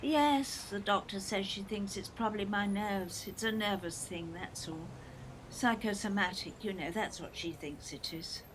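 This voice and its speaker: An actress playing a meek, nervy grown-up English daughter in an audio play, apologetic, hesitant and fretful. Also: female voice, medium pitch, measured pace, natural voice, poor audio. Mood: fretful, resigned. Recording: background noise, traffic ambience.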